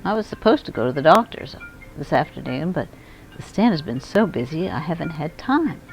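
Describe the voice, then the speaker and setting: A woman's voice: sits low, sing-song, normal speed, vocal fry, soft background music, heavily acted, low-quality recording. A woman voicing a busy, plain-spoken newsstand man in a children's storybook, with emphatic delivery. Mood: weary, frank. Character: busy newspaper-stand man, under the weather, taken aback. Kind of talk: storybook character voice.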